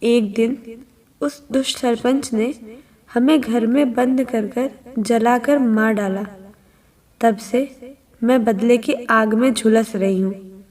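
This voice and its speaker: A woman's voice: fairly high pitch, steady tone, takes it slowly, darkish tone, long reverb tail. A woman voicing a vengeful ghostly witch in a horror cartoon story, eerie and aggrieved. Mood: bitter.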